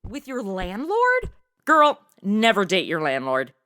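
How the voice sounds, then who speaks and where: A woman's voice: mid-range voice, huge pitch swings, slow, pushed and bright, clean tone, exaggerated voice. A woman playing a goofy, slangy modern sidekick with casual, sassy quips in a comedy audio drama. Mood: sassy, rambling. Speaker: host and co-lead.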